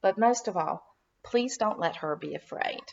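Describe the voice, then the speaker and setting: A woman reads as a gentle, protective mother from a children's story. Her delivery is earnest and melodic at a medium pitch. The recording sounds slightly dull. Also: animated, measured pace, vocal fry, slight background noise. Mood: prayerful.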